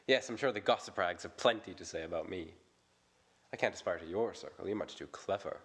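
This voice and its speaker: An actor playing a charming, flattering playboy in an audio drama who turns defensive and self-deprecating when questioned. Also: male voice, deep voice, a little fast. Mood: wistful. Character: charming, self-pitying young male playboy suitor. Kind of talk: vintage-style radio drama acting.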